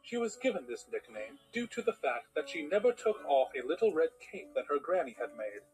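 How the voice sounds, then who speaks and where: A man's voice: a little high, sing-song, fairly quick, a little mellow, low-quality recording. A man playing a patient but exasperated cartoon storyteller, reading a bedtime tale as narrator. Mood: storytelling. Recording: music behind the voice. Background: music.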